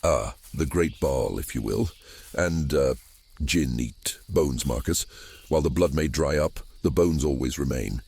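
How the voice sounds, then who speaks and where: A man voicing an ancient, grand and sly djinn in a fantasy audio drama, with a gravelly, husky growl. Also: a bass voice, measured pace, darkish tone, pitched below the performer's own voice, heavily acted. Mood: boastful.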